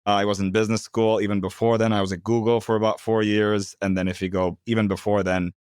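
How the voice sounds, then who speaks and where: A man in his thirties from Turkey, a product executive calmly explaining his work in an interview. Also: on the low side, clear, resonant tone, dry recording, studio quality. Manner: measured, explanatory. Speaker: head of product, guest. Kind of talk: interview answers.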